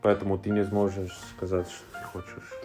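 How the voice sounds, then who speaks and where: A man from Colombia, chatting casually and haltingly, switching between basic Russian and English. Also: low-pitched, monotone, mellow, faint music behind. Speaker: vlogger's husband. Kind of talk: casual chat.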